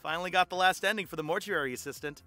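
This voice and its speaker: A woman's voice: fairly low pitch, rapid-fire, bright, forceful tone, faint music behind. A woman playing a bragging, snarky gamer friend in an audio drama, teasing with dry playfulness. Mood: playful bragging.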